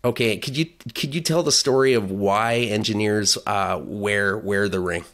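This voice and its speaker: A man's voice: on the low side, moderate pace, fairly bright tone. A man hosting a podcast who asks a guest short, curious questions. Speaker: podcast host. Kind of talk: interviewing a guest.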